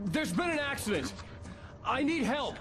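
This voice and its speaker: An actor playing an alarmed crash survivor in a thriller game, calling out urgently for help. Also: male voice, extremely high pitch, big pitch swings, normal speed. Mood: anxious, urgent. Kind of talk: video game thriller acting.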